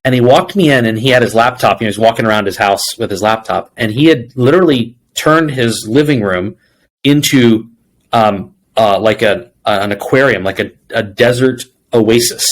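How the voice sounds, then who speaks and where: A man's voice: medium pitch, moderate pace, low-quality recording. A man speaking as an interview guest, answering conversationally and telling reflective stories with bits of quoted dialogue. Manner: reflective storytelling, quoting dialogue. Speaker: interview guest, people executive.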